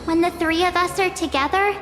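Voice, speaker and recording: A woman's voice: very high-pitched, fairly even intonation, a little fast, fairly bright tone. A woman voicing a cheerful, loyal, brave teenage girl adventurer in an anime game, speaking hesitantly but earnestly. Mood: warm, determined. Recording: long reverb, music behind.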